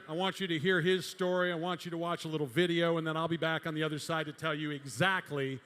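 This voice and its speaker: Booming fast-talking auctioneer man. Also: bright, forceful tone. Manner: rapid-fire bid calling, booming. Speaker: auctioneer and emcee. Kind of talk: live charity auction calling. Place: large banquet hall. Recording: reverberant hall with music.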